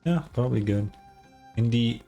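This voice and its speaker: A woman's voice: very deep voice, normal speed, warm tone, faint music behind. A woman streamer giving casual reaction commentary, slangy, sweary and wry.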